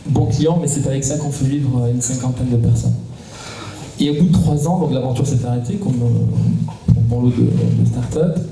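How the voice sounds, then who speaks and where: Dark-voiced man from southern France. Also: medium pitch, normal speed, gravelly. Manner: explanatory, lots of hesitant fillers. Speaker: startup cofounder on a panel. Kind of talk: round-table conversation. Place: conference hall. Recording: echoey hall, distant mic, faint noise.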